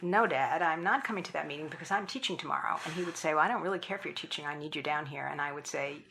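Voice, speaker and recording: A woman's voice: sits low, very fast, vocal fry, a little hiss or hum. A middle-aged woman, a philanthropist and former professor, talking thoughtfully in an interview and often restarting her sentences. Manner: thoughtful, with frequent restarts and soft laughs. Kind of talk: conversational interview.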